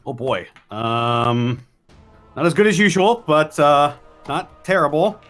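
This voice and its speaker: A man's voice: fairly high pitch, takes it slowly, fairly bright tone. A man chatting casually as a player during a tabletop role-playing game. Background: music.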